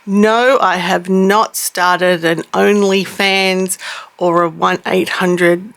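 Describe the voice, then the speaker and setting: A middle-aged woman hosting a casual craft unboxing, her voice rough and husky from a cough, chatty and self-deprecating. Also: fairly low pitch, steady tone, slow pace, a bit bright. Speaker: cross-stitch vlogger host.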